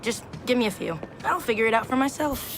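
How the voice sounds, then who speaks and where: Sassy, high-voiced teenage girl. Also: animated, fast, creaky, noisy background. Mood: breezy. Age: young adult. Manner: sarcastic, exasperated, teenage slangy delivery. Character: sassy, defiant eighteen-year-old daughter, tech-savvy recruit. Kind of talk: casual, slangy video game character acting.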